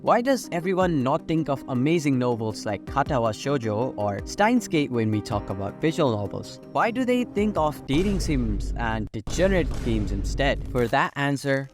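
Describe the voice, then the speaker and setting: Higher-pitched young man over prominent music. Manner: informal, opinionated, sweary. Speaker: video essayist. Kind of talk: scripted video essay narration. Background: prominent background music.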